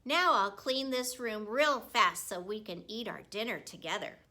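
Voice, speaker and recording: Chummy male janitor for a children's story, voiced by a woman; folksy, exclamatory, bright and forceful. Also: medium pitch, unhurried. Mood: cheerful. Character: friendly, hearty male school janitor, big-hearted and chatty. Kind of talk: children's book read aloud.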